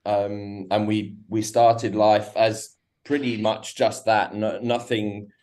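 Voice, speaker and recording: A man's voice: fairly low pitch, level tone, slow pace, a little mellow. A man being interviewed over a remote call, talking with many restarts and hesitations. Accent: foreign-sounding accent, South African company. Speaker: guest, company co-founder.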